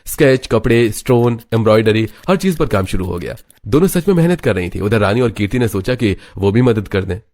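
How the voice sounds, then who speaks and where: Male narrator for animated family melodrama, scripted storytelling, lowish pitch. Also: a little fast, few pauses.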